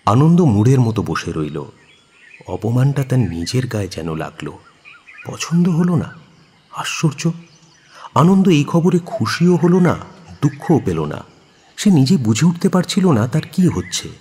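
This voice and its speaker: A man's voice: mid-range voice, very animated, unhurried, long pauses, dark tone, a little hiss or hum. A man narrating a dramatic audio story in a literary, theatrical manner. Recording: long reverb, music behind. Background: music.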